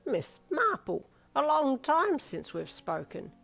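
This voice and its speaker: A woman's voice: high voice, wildly swooping pitch, slow, gravelly, voice pushed up, full character voice. Woman putting on a cheeky, warbly old man. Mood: cheerful. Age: older. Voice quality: warbly, wavering. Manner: loud, leering.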